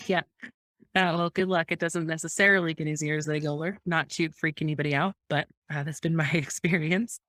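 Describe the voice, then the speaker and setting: Low-voiced woman hosting a webinar. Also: fairly even intonation, normal speed, a bit bright, dry recording, clean studio sound. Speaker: webinar host. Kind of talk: moderating a webinar.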